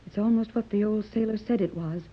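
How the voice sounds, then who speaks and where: Woman playing an earnest, husky-voiced mother. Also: medium pitch, a little fast, lightly acted. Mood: hopeful, pleading. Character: earnest, hopeful mother searching for lost daughter. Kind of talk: 1930s radio serial acting. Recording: dull, muffled 1930s radio recording.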